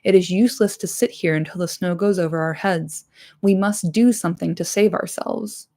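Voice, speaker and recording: A woman's voice: lowish voice, normal speed, mellow, lightly acted. A woman voicing a bold, practical male warrior who speaks bluntly and urgently in a storybook reading.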